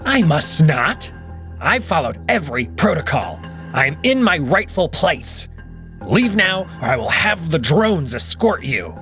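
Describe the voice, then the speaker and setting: A man's voice: fairly high pitch, sing-song, a little slow, a bit bright, soft background music, pitched above the performer's own voice. A man voicing a proud young male wolf emperor who is defensive and commanding. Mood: furious. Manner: indignant, commanding outbursts.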